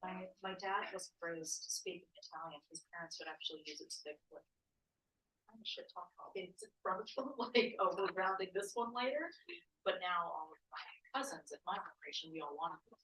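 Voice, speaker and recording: A woman chatting casually during an art workshop, trailing off and throwing in quick asides. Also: fairly low pitch, expressive, fairly bright tone. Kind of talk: casual chat while making art. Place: library art workshop room. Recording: echoey room.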